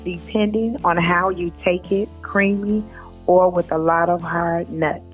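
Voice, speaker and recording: Low-pitched woman, dull lo-fi sound. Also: fairly even intonation, a little slow. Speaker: author, interview guest. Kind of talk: reading aloud and informal interview talk. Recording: dull lo-fi audio, faint music.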